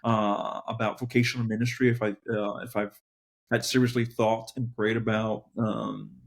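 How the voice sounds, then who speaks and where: A man from Colombia and New Jersey, a pastor, sharing his personal story conversationally as a guest, reflective and pausing to search for words. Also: fairly low pitch, flat delivery, gravelly.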